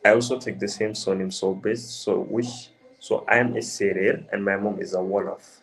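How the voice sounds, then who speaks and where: A young man from the Gambia, answering interview questions in a hesitant, halting way with many restarts. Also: fairly low pitch, fairly even intonation, gravelly. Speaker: interview guest.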